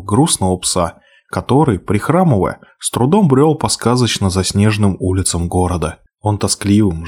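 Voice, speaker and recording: A man reading a fairy tale aloud, expressive, slowing and hushing for the sad passages. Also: fairly low pitch, slightly rough. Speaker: narrator. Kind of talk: storybook reading.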